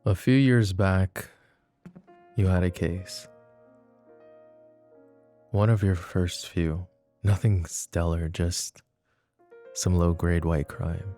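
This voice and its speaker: A man acting a flirty, possessive mafia boss in an intimate ASMR roleplay, speaking softly and unhurriedly in a teasing, world-weary way. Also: low-pitched, steady tone, slow, long pauses, faint music behind. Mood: quiet, reflective. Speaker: voice actor.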